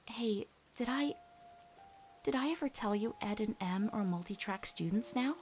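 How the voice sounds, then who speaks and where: A woman voicing a gentle, steadfast teenage witch girl, speaking in a measured, reassuring way in a dramatic comic dub. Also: a little high, animated, soft background music, lightly acted. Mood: tender, admiring.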